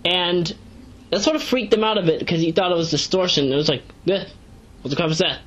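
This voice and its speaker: A dull, lo-fi home recording of a woman with a lowish voice. She talks through a review casually and without a script. She wanders off into digressions and stresses her side points as she goes. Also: fairly quick. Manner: rambling, digressive, emphatic asides. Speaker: amateur web series reviewer. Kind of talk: casual unscripted review commentary.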